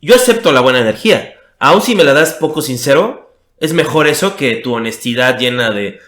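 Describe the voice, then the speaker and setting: A man's voice: medium pitch, lively intonation, normal speed, a bit bright, roomy sound. A young Mexican man chatting casually as a podcast guest, self-mocking and full of "o sea" fillers. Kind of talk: casual conversation.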